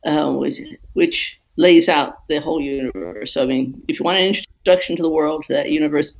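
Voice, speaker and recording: A woman giving a casual interview as a guest author, explaining things haltingly and rephrasing as she goes. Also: sits low, lively intonation, vocal fry, muffled recording, slight background noise.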